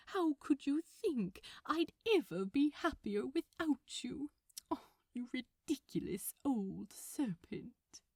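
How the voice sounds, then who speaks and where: A gentle male angel from an audio story, voiced by a woman, fond and a little fussy. He speaks slowly and tenderly, with lilting pitch and genteel teasing, in a dry, close recording. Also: dry recording, heavily acted.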